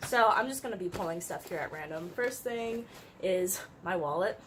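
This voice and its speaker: A woman's voice: lowish voice, expressive, normal speed, slight background noise. A young woman with a slightly congested voice, chatting casually to the camera as a vlogger, peppering her talk with "like" and little laughs. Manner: chatty, uses "like" often, light laughs. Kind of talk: casual chat. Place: her room.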